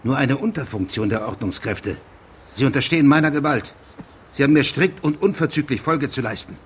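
An actor playing a stern, insistent judge who questions formally and with authority in a radio play. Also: male voice, mid-range voice, lively intonation, brisk, slight background noise, lightly acted. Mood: stern, commanding. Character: stern, insistent male judge investigating a case. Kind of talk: German radio drama dialogue.

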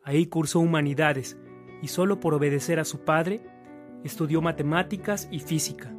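A man's voice: fairly high pitch, normal speed, faint music behind. A man with a Mexican accent reading scripted narration for a radio drama.

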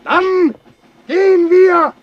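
An actor playing a rowdy, carefree soldier out carousing, coarse and shouting in boisterous radio drama style. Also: male voice, very high-pitched, extremely slow, no room sound, highs cut off, heavily acted. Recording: busy crowd din around the voice.

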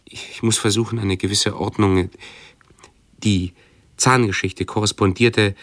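An actor in a radio drama playing an anxious, pedantic hypochondriac who speaks in a halting, brooding, fussily precise way. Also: male voice, fairly low pitch, flat delivery, a bit bright, dry recording. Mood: anxious, fussy. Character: anxious, pedantic male hypochondriac with obsessive self-observation. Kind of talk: radio drama monologue and dialogue.

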